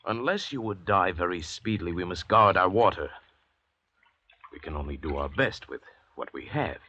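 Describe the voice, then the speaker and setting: An actor playing an arrogant, calculating convict doctor who leads his fellows with measured, condescending eloquence in an old-time radio drama. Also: low voice, animated, sharp tone, exaggerated voice. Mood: stern control. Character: cold, arrogant, commanding convict doctor, calculating leader of escapees. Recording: dull, noisy vintage radio recording.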